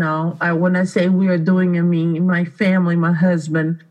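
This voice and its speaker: A woman's voice: lowish voice, poor audio. A woman in her thirties from Brazil, speaking English with a Brazilian Portuguese accent, telling her life story earnestly as a radio interview guest. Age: 30s. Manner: earnest, heartfelt storytelling with frequent restarts. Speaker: guest, art studio owner.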